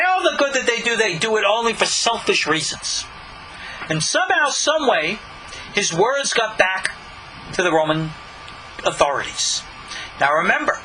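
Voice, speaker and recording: High, bright-voiced rabbi teaching. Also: male voice, slight background noise, low-quality recording. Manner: earnest, explanatory, rising emphasis on key words. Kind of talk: recorded Bible study lesson.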